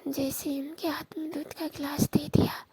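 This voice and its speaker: Woman, high voice, little pitch movement, intimate confessional storytelling, hushed and breathy, halting. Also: level tone. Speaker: storyteller in a staged call.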